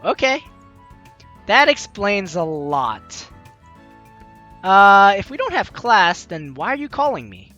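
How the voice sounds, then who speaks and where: A woman's voice: mid-range voice, big pitch swings, slow pace, pauses often, a bit bright, lo-fi sound, soft background music. A young woman hosting a game playthrough, reading the story aloud expressively and commenting as she goes, close on the mic. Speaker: let's play host.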